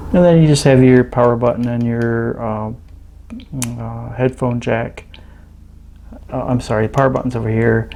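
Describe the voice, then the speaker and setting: A man giving casual hands-on demonstration commentary as a host, thinking aloud in a halting, understated way. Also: mid-range voice, vocal fry, warm tone. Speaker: host of an electronics teardown channel.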